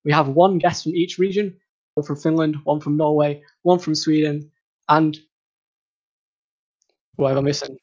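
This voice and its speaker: Higher-pitched man hosting, slightly dull sound. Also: flat delivery, normal speed, long pauses, a little mellow. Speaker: host of an esports talk show. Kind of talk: casual hosting of a panel chat.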